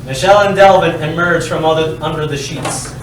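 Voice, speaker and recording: A man reading stage directions as scripted narration, deliberate and dry in delivery. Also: fairly high pitch, fairly even intonation. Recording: very echoey hall, distant mic, faint noise.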